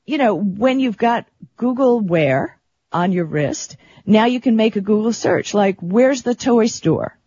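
A woman with a husky edge hosts casual tech radio. She talks in an informal, enthusiastic, chatty way. The sound is dry and a little lo-fi. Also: mid-range voice, expressive, unhurried, mellow, no room sound. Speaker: radio co-host. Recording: slightly dull, dry lo-fi radio stream.

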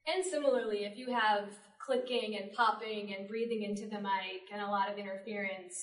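A woman's voice: mid-range voice, steady tone, a little fast. A woman talking casually as the moderator of a panel discussion. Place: public library panel room. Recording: echoey room, distant mic.

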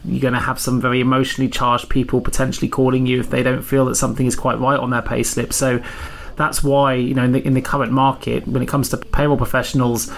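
A man hosting a podcast interview, chatty and friendly, his voice a little croaky from a cold. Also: medium pitch, a little hiss or hum. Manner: friendly, chatty, filler-heavy. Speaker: podcast host.

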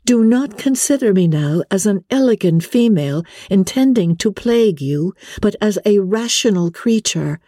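A woman voicing a spirited young gentlewoman in a classic novel reading, earnest, emphatic and politely exasperated. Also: mid-range voice, expressive, moderate pace, hardly any pauses, no echo, clean studio sound, pitched above the performer's own voice, lightly acted. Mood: earnest, firm. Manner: emphatic, earnest, stammering in protest.

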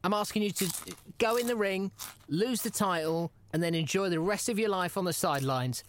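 A man's voice: high voice, a little fast, a little hiss or hum, close to an everyday voice. An actor playing an exasperated, mock-serious podcast interviewer pressing his wrestler friend in an audio comedy, insistent and pleading. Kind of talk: comedy audio drama dialogue.

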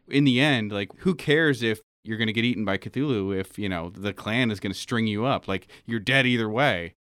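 A man's voice: on the low side, a bit bright, smooth, no echo. Candid man chatting, dry close sound. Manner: candid, informal, sweary. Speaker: podcast cast member and panelist. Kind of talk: casual chat.

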